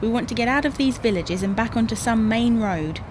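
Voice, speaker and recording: A woman playing a brisk, sarcastic, bossy but caring wife in an audio comedy drama, with a dry, chiding manner. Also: fairly high pitch, background noise, close to an everyday voice. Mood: exasperated, dry.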